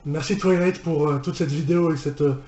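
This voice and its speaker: A man giving casual, unscripted reaction commentary as a video host, haltingly, often repeating and rephrasing himself. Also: fairly high pitch, steady tone, dark tone, highs cut off. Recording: roomy echo, music behind.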